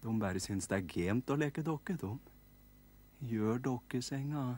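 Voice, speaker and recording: A man's voice: mid-range voice, expressive, darkish tone, slightly rough. A man voicing a bossy little gnome girl who speaks matter-of-factly. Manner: matter-of-fact, demanding.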